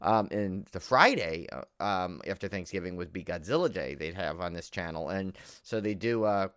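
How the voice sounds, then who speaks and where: A man's voice: deep voice, moderate pace, fairly bright tone, smooth, no room sound, clean studio sound. An American man co-hosting a podcast in casual chat, rambling enthusiastically and often circling back on himself. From New York area, United States. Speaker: podcast co-host. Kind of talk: casual chat about horror movies.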